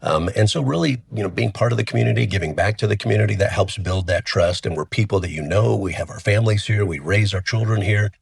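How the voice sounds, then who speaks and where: Deep-voiced man with a cold. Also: fast talker, vocal fry, few pauses, slightly rough. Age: middle-aged. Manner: relaxed and chatty, frequent restarts. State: has a cold, voice deeper than usual. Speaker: funeral home CEO, guest. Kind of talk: casual interview talk.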